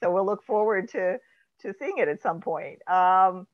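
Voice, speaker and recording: A woman's voice: medium pitch, measured pace. Woman interviewer, dull lo-fi sound. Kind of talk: casual interview questions. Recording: dull lo-fi video call audio.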